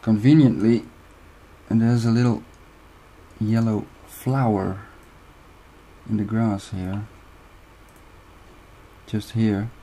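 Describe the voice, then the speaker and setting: A young man from the Netherlands with a Dutch accent, walking through a software tutorial step by step with short pauses. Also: lowish voice, flat delivery, slight background noise, poor audio. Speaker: video editing tutor. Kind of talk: screen-recorded software tutorial.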